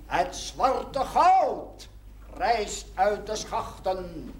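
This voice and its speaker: An actor playing a pompous declaimer, theatrically reciting solemn verse in a television drama. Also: male voice, high voice, very animated, extremely slow, reverberant, very noisy, full character voice. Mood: grandiose. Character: bombastic declaimer of a solemn stage oration.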